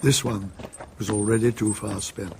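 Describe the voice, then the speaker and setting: A man narrating a radio drama in a measured, deliberate storytelling manner. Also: fairly low pitch, fairly even intonation, creaky, background noise.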